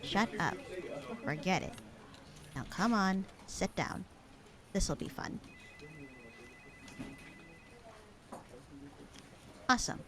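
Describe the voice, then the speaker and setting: A woman playing a bored, sarcastic, slightly flirty secretary in a casual roleplay audio drama, with a deadpan, slangy delivery. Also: medium pitch, unhurried, a crowd in the background, lightly acted. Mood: dryly sarcastic. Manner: deadpan, slangy, unbothered drawl.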